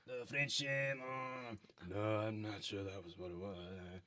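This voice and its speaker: A man playing a dim, muddled prisoner who talks haltingly in improvised radio comedy. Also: on the low side, fairly even intonation, a crowd in the background, lightly acted. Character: hesitant, dim Mexican prisoner, sworn blood brother.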